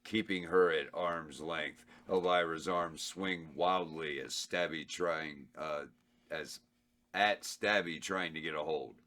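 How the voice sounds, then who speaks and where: A man with a very low voice reads out the stage directions in a plain, matter-of-fact way. He keeps to an even delivery as he sets each scene. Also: slow. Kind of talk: reading script directions aloud.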